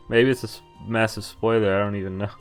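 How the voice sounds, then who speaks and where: Man, low pitch, game streamer, casual commentary, reading text aloud, wry offhand asides, faint game music. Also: steady tone, fairly quick. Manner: wry offhand asides between read lines. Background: visual novel music.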